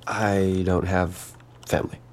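A man playing a guarded, lonely app user in a naturalistic audio drama, speaking in a hesitant, subdued, gravelly voice. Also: low voice, little pitch movement, takes it slowly. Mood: subdued, sad. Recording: noticeable background noise and ambience.

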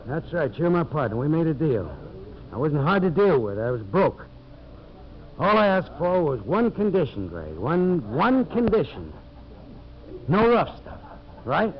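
Casting: rough logging boss with a troubled conscience, blunt, very varied pitch, muffled vintage radio sound. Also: male voice, big pitch swings, measured pace, long pauses. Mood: drunk, angry. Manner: blunt, forceful, repeats himself. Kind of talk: 1950s radio drama acting. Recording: muffled, noisy 1950s radio recording.

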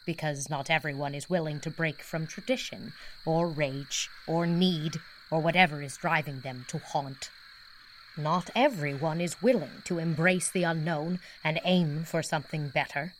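A woman playing a reflective guide to ghosts, narrating an audio drama in a measured, earnest way. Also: sits low, a little hiss or hum, rough recording.

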